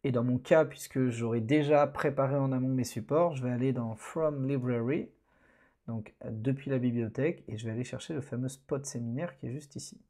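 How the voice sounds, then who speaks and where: Man, warm tone, hesitant tutorial narration, desk mic. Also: mid-range voice, a little fast. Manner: relaxed, hesitant, many fillers. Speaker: online course instructor. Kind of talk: screen-recorded tutorial narration.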